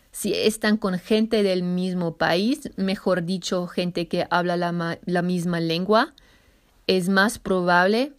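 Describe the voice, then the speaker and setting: A woman's voice: medium pitch, clean tone. A woman hosting a solo podcast in careful learner's Spanish, with a slight Argentine accent blended with others and small self-corrections. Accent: slight Argentine, mixed. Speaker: podcast host.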